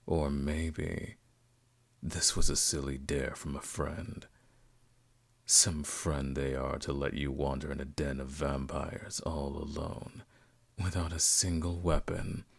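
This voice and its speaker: A man playing a suave, predatory vampire lord in an ASMR roleplay, teasing and condescending, seductive and sometimes breathy. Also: flat delivery, heavily acted. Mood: mocking. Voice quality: deep, creaky, breathy at times. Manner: slow, teasing, drawn-out, condescending. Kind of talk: ASMR roleplay audio drama. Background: music.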